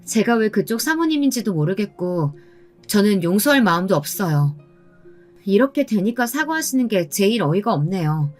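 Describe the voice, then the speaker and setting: A woman telling a first-person story in a calm, pointed manner. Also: medium pitch, moderate pace. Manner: calm and pointed, recounting with indignation. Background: soft background music.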